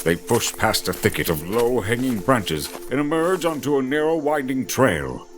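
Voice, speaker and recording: Guy narrating fantasy story, dramatic and theatrical, pitch moves a lot, music playing behind him. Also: big pitch swings, normal speed.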